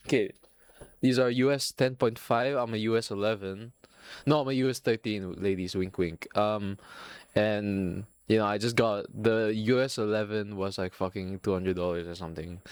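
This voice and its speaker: A young man with a Filipino accent hosts his own podcast, rambling loosely from one thing to the next and swearing constantly in a self-mocking way. His voice sits a little low. The sound is dry and close, with no room around it. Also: smooth, no room sound. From Singapore. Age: young adult. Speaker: podcast host. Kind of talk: casual solo podcast rambling.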